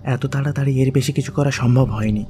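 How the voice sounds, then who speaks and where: A man playing an eager young village boy in a historical radio drama. His voice is of middling pitch, a loyal helper's voice, with faint music underneath. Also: fairly even intonation, brisk, warm tone, close to an everyday voice. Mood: hushed, hurried. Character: eager young village lad, devoted animal-catching friend. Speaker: actor in an audio story. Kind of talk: dramatic radio play dialogue. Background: background music.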